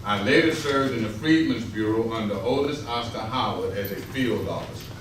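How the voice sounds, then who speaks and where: A man's voice: lowish voice, normal speed, a bit bright, close to an everyday voice. A man playing a proud Civil War army major in a formal readers theater monologue. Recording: distant, echoey hall, clear noise.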